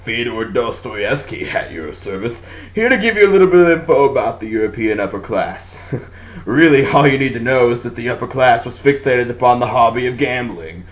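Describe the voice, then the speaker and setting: A man's voice: medium pitch, expressive, brisk, lightly acted. A man playing a smug, reckless Russian novelist with a gambling habit in a comic puppet show.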